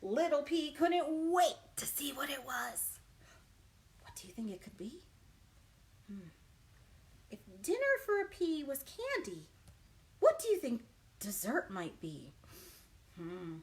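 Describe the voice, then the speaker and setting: A woman's voice: sits high, sing-song, slow pace, long pauses. A woman working as a children's librarian, leading storytime with an exaggerated, encouraging manner and questions to young listeners. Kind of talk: animated children's storytime read-aloud and chat.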